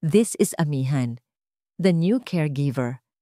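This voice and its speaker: A woman's voice: low voice, lively intonation, unhurried, mellow, no room sound, studio quality. A woman voicing a cold, controlling and menacing fiancée with falsely sweet manners in an audio drama. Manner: commanding, with veiled threats. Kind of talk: audio drama character dialogue.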